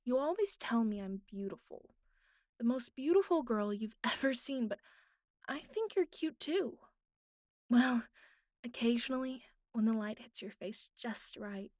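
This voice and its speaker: A woman acts out a young female captive who is bratty on top and fragile underneath. Her high voice moves very slowly and haltingly, slipping between pouting and soft pleading. It is an intimate audio drama, recorded close and dry. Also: expressive, no room sound. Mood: teasing, bratty. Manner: halting, pleading, pouty, soft breathy asides. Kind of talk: intimate audio roleplay addressed to the listener.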